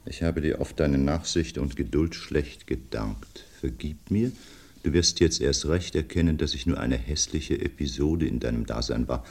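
An actor playing a sardonic, erudite doctor in a German radio crime drama, courteous, ironic and theatrical. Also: male voice, extremely low pitch, normal speed, darkish tone. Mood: reading solemnly. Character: sardonic, erudite male doctor needling the police.

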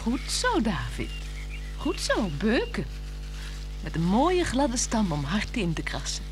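An actress playing a playful, flirtatious married woman in a radio play, teasing and coaxing, moving between hushed words and exclamations. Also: mid-range voice, huge pitch swings, takes it slowly. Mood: teasing, wistful. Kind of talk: 1960s Dutch radio play acting. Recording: 1960s radio drama with music.

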